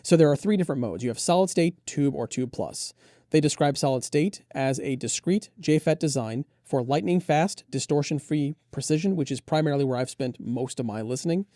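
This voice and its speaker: A man's voice: on the high side, brisk, warm tone, no echo, clean studio sound. A man co-hosting a gear review, enthusiastically and conversationally running through detailed product specs. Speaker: audio gear reviewer and co-host.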